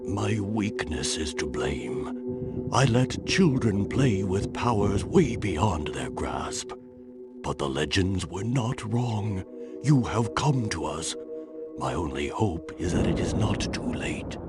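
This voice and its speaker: Slow, solemn witch doctor. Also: low-pitched, very animated, full character voice. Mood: grave, foreboding. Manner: slow, grave, portentous. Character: solemn, cryptic male witch doctor, island guardian. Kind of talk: video game cutscene acting. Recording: game music behind.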